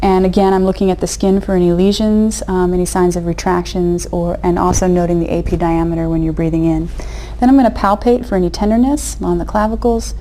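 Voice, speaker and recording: A woman clinician calmly giving step-by-step instructions to a patient during a demonstration of a physical exam. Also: fairly low pitch, brisk, hardly any pauses, low-quality recording. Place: exam room, recorded for video. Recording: clear background noise.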